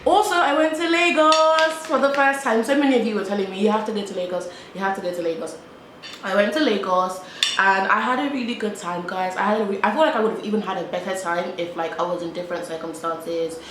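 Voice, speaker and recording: A woman's voice: medium pitch, fairly even intonation, fast talker. A young British woman chatting to camera in a casual vlog while eating, talking in a loose, repetitive way full of "like". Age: young adult. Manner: chatty, repeats herself, frequent "like". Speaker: vlogger. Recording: echoey room with faint background hum.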